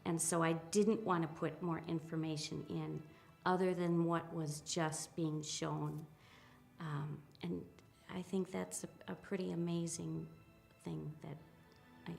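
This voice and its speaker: A woman in her fifties speaks with a low, gravelly voice. She talks hesitantly and softly, pausing and restarting as she reflects on her own story. Faint music plays underneath. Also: level tone. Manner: hesitant and soft, with pauses and restarts. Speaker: interviewee, spiritual practitioner. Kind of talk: reflective personal account.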